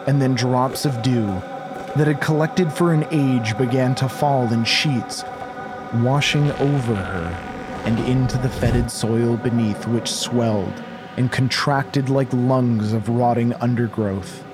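Man narrating horror, medium-pitched and measured. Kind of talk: scripted dramatic horror storytelling. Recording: faint background noise.

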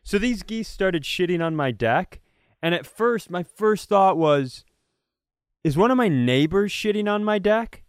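A man's voice: high-pitched, animated, a little slow, no echo, studio quality. An American man from Minnesota telling stories casually as a comedy podcast co-host, ranting in comic exasperation and acting out quotes. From Minnesota, United States.

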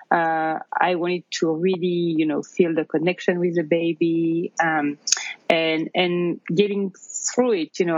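A woman's voice: on the low side, darkish tone, no room sound. French-accented woman, muffled call audio. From France. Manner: halting, many fillers and restarts. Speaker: interview guest. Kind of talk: casual interview.

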